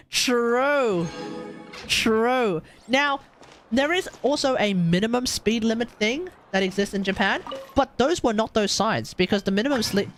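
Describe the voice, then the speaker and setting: A man chatting casually and excitably on a gaming livestream, rambling and correcting himself as he goes. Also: high-pitched. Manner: animated, rambling, exclamatory, self-correcting. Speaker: live streamer.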